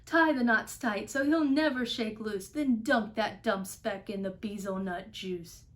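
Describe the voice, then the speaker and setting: A woman voicing a rowdy, menacing gang of jungle animals in a storybook, shouting rough orders. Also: mid-range voice, a little slow, natural voice. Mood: aggressive.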